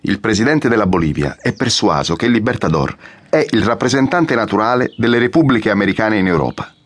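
Loyal army aide, husky lowish voice, goes up and down a lot, respectful, dry sound, radio drama. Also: male voice, lively intonation, fairly quick. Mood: careful, explanatory. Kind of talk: audiobook radio drama acting.

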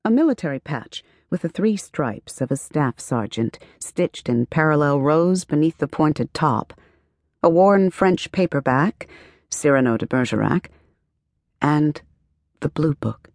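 A woman narrating a literary novel as an audiobook, with measured, expressive storytelling and careful phrasing. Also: deep voice, dry recording, clean studio sound. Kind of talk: scripted literary fiction narration.

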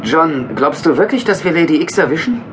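High-voiced, animated detective sidekick. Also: male voice, fairly quick, noisy background. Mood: bantering. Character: detective's brisk, loyal male partner, alert and teasing. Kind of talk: German audio drama acting. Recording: music under the dialogue.

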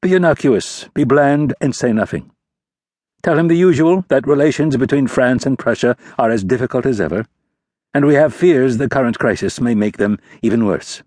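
A man's voice: mid-range voice, normal speed, darkish tone, no echo, clean studio sound, voice pushed up, natural voice. A man voicing a scheming male ambassador prince of about forty, with a mocking, careless, lofty manner.

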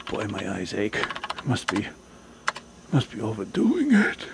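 An actor playing a sleazy middle-aged husband in a radio drama, halting and self-absorbed. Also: male voice, fairly low pitch, huge pitch swings, vocal fry, slight background noise, exaggerated voice. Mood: smug muttering.

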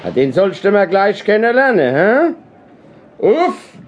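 A sneering soldier threatens in a high, swooping, drawn-out voice. The radio-play sound is somewhat dull. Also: male voice, sing-song, slight background noise, full character voice. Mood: mocking menace. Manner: mocking, threatening. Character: sneering, menacing marauder soldier.

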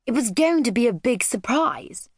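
A woman voicing a gentle professor father in a children's story, earnest and explanatory. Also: a little high, animated, normal speed, breathy edge, no room sound, studio quality, voice pushed up. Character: gentle, scholarly professor father lecturing his daughter.

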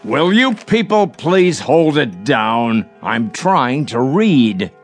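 Gravelly, grumbling old grouch. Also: male voice, mid-range voice, very animated, slow pace, faint music behind. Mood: irritable. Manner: grumbling, muttering, repeats himself. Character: grouchy, bitter elderly man in a rest home. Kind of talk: radio drama acting.

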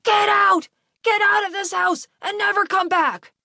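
A woman voicing a drunken, abusive father, shouting belligerently at his son in an audiobook story. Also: very high-pitched, fairly even intonation, a little slow, creaky, dry recording, studio quality, pitched above the performer's own voice, full character voice. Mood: furious. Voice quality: shouting, forceful.

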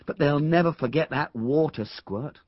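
A man's voice: fairly high pitch, normal speed, dry recording, lo-fi sound, voice pushed up, natural voice. A man voicing a firm, gloomy schoolboy sidekick in a story reading. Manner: firm, mournful.

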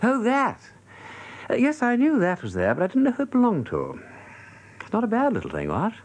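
Radio drama: breezy, airy upper-class English gentleman, hugely animated intonation, slightly husky. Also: high-pitched, a little fast, full character voice. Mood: casual, inquisitive. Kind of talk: British radio drama acting.

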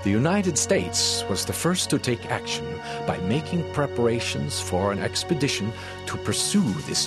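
A man playing an eager French professor who narrates his sea adventure in a formal, theatrical audiobook drama style. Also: medium pitch. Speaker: narrator and lead actor.

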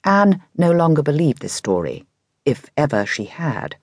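A woman's voice: sits low, very animated, a little slow, a little mellow, breathy edge, no room sound, clean studio sound. A woman with an English accent narrating a scripted history documentary in an explanatory way with lightly conversational asides.

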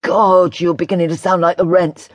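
A woman's voice: lowish voice, fairly even intonation, normal speed, no room sound, lightly acted. A woman voicing a sarcastic, slangy, indignant British teenage boy in an audiobook, offhand and sardonic. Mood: exasperated.

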